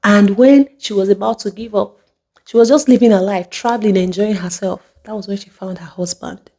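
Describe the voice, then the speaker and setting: Mellow-voiced woman giving motivational talk. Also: medium pitch, fairly even intonation, normal speed. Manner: emphatic, repeats phrases for effect. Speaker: video host. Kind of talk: motivational monologue to viewers.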